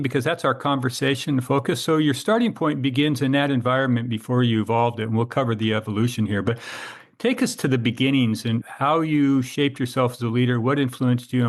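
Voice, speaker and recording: A man hosting a podcast, opening the show and asking his guest questions in a friendly, measured way. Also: medium pitch. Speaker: podcast host. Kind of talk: podcast interview.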